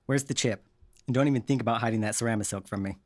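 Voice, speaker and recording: A man's voice: medium pitch, rapid-fire, dry recording, close to an everyday voice. A man playing a dry, watchful gang tech and weapons expert in an audio drama, speaking in an unruffled, casual way.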